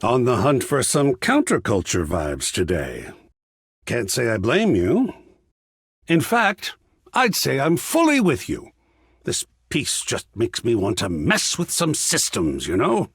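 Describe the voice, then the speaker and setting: A theatrical, effusive art curator for a video game. His pitch swoops wildly from low purrs to high exclamations, and he lingers over grand phrases at an unhurried pace. The voice is slightly husky and closely recorded, with a fussy, cultured air. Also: huge pitch swings, dry recording, clean studio sound, full character voice. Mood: playful. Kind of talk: video game character voice lines.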